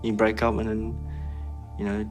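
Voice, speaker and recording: A man's voice: lowish voice, monotone, moderate pace, faint music behind, poor audio. A young man, talking candidly in an interview and laughing now and then. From Australia. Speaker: interviewee, former trainee. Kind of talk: casual interview.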